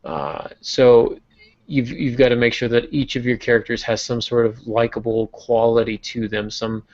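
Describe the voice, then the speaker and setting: A man's voice: mid-range voice, normal speed, a little mellow. A man hosting a podcast, explaining fiction craft in a casual, teaching way with frequent fillers. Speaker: podcast host and novelist. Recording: dull lo-fi podcast audio.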